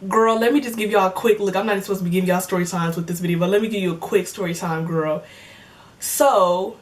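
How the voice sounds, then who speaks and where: A woman's voice: medium pitch, steady tone, fast, recorded from a distance. A woman of twenty in casual chat, answering viewer comments in a joking, slangy way and laughing often. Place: home filming setup with cameras and lights.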